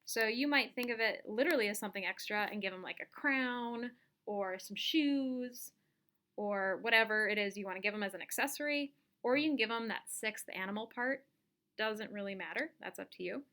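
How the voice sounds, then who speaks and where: A woman giving a casual step-by-step software tutorial, thinking aloud as she demonstrates each action. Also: medium pitch, moderate pace. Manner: informal, instructional, thinking aloud while demonstrating. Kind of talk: casual step-by-step screen-recorded lesson.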